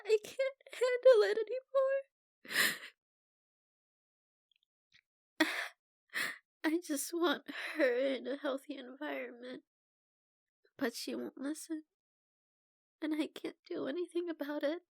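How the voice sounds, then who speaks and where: A woman acts out a troubled young woman painter confiding in a stranger in an ASMR roleplay. Her voice is fairly high and very unhurried, soft and halting, with sighs between phrases. The sound is close and dry. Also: expressive, gravelly, dry recording, full character voice. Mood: tearful, distressed. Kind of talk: ASMR roleplay, intimate monologue.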